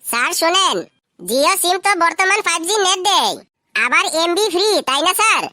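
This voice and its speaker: A performer voicing a cheeky, quick-witted schoolboy prankster with a squeaky, artificially high voice in a comedy cartoon. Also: lively intonation, pushed and bright, no room sound, clean studio sound, exaggerated voice. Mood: cocky.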